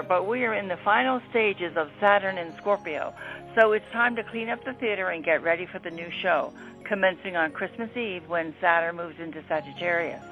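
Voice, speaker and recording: A woman's voice: medium pitch, a bit bright. Female radio astrology presenter, mid-range voice, scripted read, muffled lo-fi recording over faint music. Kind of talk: scripted radio horoscope narration. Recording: dull lo-fi audio.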